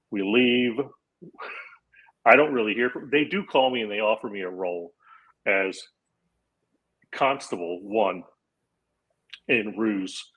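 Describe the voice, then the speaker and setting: Husky low-voiced man telling a story. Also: dull audio. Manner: animated anecdote-teller, acts out quoted lines. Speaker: comedian guest on a podcast. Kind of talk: casual storytelling.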